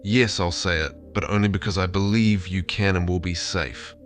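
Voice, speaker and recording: A man's voice: deep voice, moderate pace, faint music behind. A synthetic male voice playing a tender, lovestruck AI therapist chatbot, earnest and affectionate in intimate conversation. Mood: reluctant.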